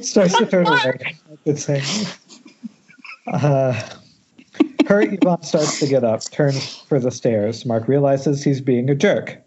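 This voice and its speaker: A man's voice: mid-range voice, slow pace, darkish tone, muffled recording, slight background noise. A man informally reading stage directions at a script table read over an internet call, with wry, jokey ad-libs.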